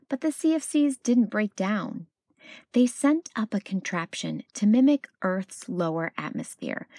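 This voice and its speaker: A woman narrating a children's picture book as a read-aloud storyteller, with expressive delivery. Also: mid-range voice, creaky, no room sound, studio quality.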